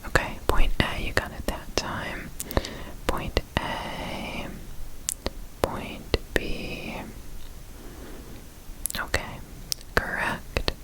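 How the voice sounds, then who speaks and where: A woman whispering softly and breathily in an ASMR roleplay, giving gentle, reassuring step-by-step instructions as an examiner. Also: very slow, long pauses, poor audio. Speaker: ASMR performer playing an examiner.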